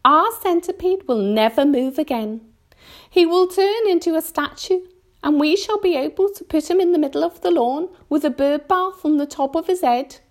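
A woman voicing a bright, eager male earthworm from a storybook, gloating in a sing-song way. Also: very high-pitched, animated, normal speed, pitched above the performer's own voice.

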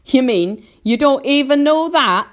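A woman's voice: lowish voice, animated, a little slow, voice pushed up. A woman voicing a rude, rambunctious storybook centipede, brash and mocking. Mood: scornful, mocking.

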